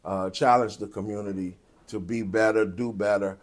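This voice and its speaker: A man with a fairly low voice speaks in an interview as a pastor and producer. His delivery is deliberate and halting, as he works through his thoughts. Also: fairly even intonation. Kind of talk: post-show interview.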